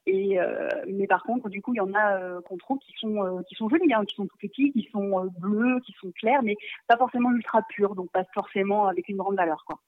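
A woman guest on a radio show, talking over the phone and often pausing on fillers and restarting. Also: medium pitch, no room sound. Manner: hesitant, many fillers and restarts. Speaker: magazine writer and guest. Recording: tinny phone line.

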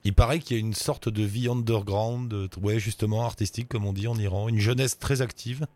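A man's voice: lowish voice, fairly even intonation, fairly quick, warm tone, no echo. A man hosting a radio show from a studio, asking callers friendly and curious questions. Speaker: radio host. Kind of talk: call-in radio interview.

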